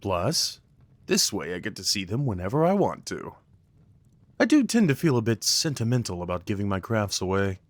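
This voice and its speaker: A man's voice: fairly low pitch, big pitch swings, moderate pace, no echo. A man playing an affectionate, playful boyfriend in an intimate ASMR roleplay, talking tenderly and rambling with light teasing. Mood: fond, pleased. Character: affectionate, playful, crafty boyfriend cuddling his partner.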